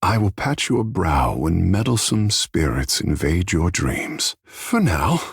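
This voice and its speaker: A theatrical male sea godlike from a fantasy video game, speaking in a husky, lowish voice that swings expressively. He is a florid, formal flirt who lingers on dramatic pauses, with a tender side beneath the swagger. The sound is dry and close. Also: big pitch swings, no echo, full character voice. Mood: tender, playful. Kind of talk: video game character dialogue.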